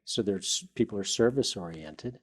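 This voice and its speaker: A man's voice: on the low side, moderate pace, slightly rough. A man who is a guest on a talk show, explaining his ideas in an interview.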